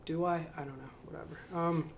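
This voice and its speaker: Slow, musing man reading aloud. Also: a little high, steady tone, a little hiss or hum. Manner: slow, musing, self-questioning, trails off into mumbles. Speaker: channel host reading a book aloud. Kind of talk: reading aloud with reflective commentary. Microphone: to camera.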